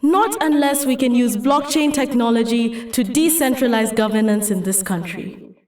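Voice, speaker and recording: An idealistic young Kenyan woman played by an actress speaks in a high voice. She is earnest and persuasive, like an activist explaining her cause in a motion comic. The sound is echoey and set back from the mic. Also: moderate pace, a little mellow, far from the mic, close to an everyday voice. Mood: impassioned. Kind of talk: dramatic motion comic dialogue and speech.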